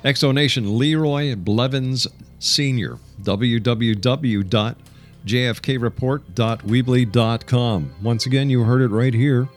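A man hosting a radio interview, speaking politely in deliberate phrases. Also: medium pitch. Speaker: radio show host and interviewer.

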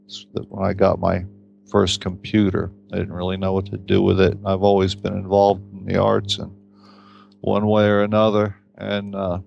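A man with a very deep, gravelly voice speaks slowly and unhurriedly. He talks as a novelist in a casual interview, wry and reflective, pausing and restarting as he goes. The recording sounds a little dull and lo-fi. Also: a little mellow.

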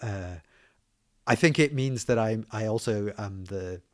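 Low-voiced man with soft Scottish-English accent. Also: a little slow. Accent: mixed Scottish and southern English. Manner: hesitant, many restarts and fillers. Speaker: podcast guest. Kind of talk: casual podcast conversation.

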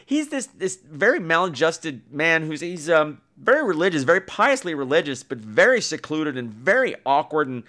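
A man's voice: on the high side, big pitch swings, moderate pace, hardly any pauses, pushed and bright. A man hosting a podcast solo in casual, rambling talk, with a campy, self-mocking, bawdy manner. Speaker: podcast host.

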